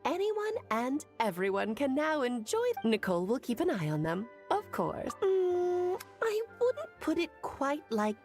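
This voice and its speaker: A woman's voice: high voice, huge pitch swings, unhurried, hardly any pauses, music in the background, heavily acted. A woman playing a refined, playful female witch who lectures in a teasing, theatrical way in a video game. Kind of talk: game voice acting.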